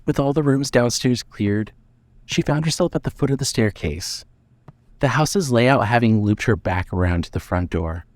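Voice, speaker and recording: A man narrating a scripted dramatic story in a measured reading manner. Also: medium pitch, expressive, no echo.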